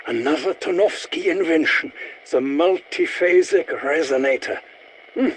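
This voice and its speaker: Man playing eccentric scientist, game character, high-pitched, slow deliberate delivery, pompous, sardonic, squeamish. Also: a little hiss or hum, close to an everyday voice. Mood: dry, instructive. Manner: precise, pompous, theatrical diction. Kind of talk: video game character voice lines.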